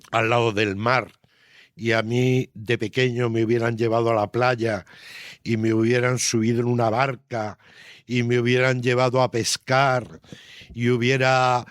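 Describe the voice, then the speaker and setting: A man's voice: medium pitch, fairly bright tone, no echo, clean studio sound. An older man with a Castilian Spanish accent answers interview questions as a children's author, explaining things conversationally with frequent tag questions.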